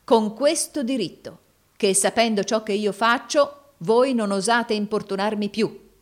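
A woman voicing a righteous, defiant young gentleman in an audiobook novel, emphatic, declamatory and commanding. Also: medium pitch, measured pace, pitched above the performer's own voice, natural voice.

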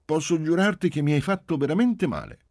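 A man's voice: fairly high pitch, sing-song, fairly quick, a little mellow, no echo, studio quality, pitched above the performer's own voice. A man reading a story, voicing a willful, pouty little girl who talks with insistent, self-important emphasis. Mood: haughty. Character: small girl, willful, pouty, bossy know-it-all.

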